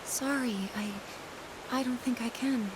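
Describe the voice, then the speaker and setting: High-voiced, spirited young guide. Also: female voice, fairly even intonation, unhurried, noisy background. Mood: subdued. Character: spirited, sweet young female mountain guide. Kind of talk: video game cutscene acting.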